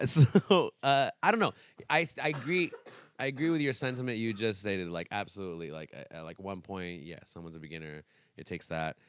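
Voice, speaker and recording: Man, lowish voice, joking, rambling podcast banter, dry close sound. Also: smooth, no echo. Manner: joking, mock-indignant, rambling. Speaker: podcast co-host, dance teacher. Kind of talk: casual banter and opinion.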